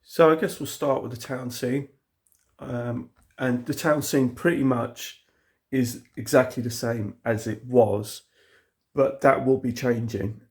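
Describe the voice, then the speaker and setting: A man's voice: mid-range voice, fairly even intonation, slow. A man with a cold, sounding sniffly, casually walking viewers around his model railway layout with lots of fillers and restarts. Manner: rambling, many fillers and restarts. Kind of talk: casual walkthrough video. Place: indoor hobby room with the layout.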